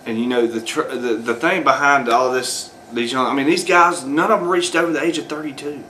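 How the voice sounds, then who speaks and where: Bright-toned rambling male commentator. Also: medium pitch, fairly even intonation, brisk, a little hiss or hum. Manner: rambling, many fillers and restarts. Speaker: video host. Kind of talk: unscripted solo commentary.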